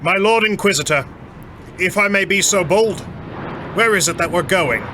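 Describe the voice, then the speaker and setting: Man playing an eager, high-pitched brash soldier. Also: moderate pace, bright, forceful tone, a little hiss or hum. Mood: deferential. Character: brash, nervous male trooper, proud but deferential soldier.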